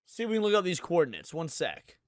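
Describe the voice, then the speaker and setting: A man's voice: a little high, wildly swooping pitch, fast, no room sound, clean studio sound. A man giving casual live reaction commentary to viewers, thinking aloud in an informal way. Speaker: streamer reacting to a video series.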